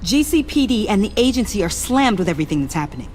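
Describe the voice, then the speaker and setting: A woman's voice: mid-range voice, sing-song, fast talker, darkish tone, soft background music, natural voice. An actress playing an earnest, determined young woman in a video game, speaking with intense, direct emotion. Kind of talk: dramatic video game acting.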